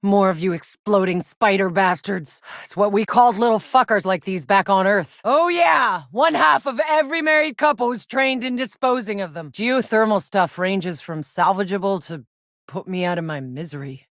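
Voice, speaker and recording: An actress voices a battle-hardened young female fighter from a sci-fi shooter game. She is sardonic and gritty, quick with profanity and dry wit. The sound is tight and studio-dry, with no room around the voice. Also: medium pitch, expressive, no room sound, natural voice. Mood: wry, weary. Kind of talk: video game character voice lines.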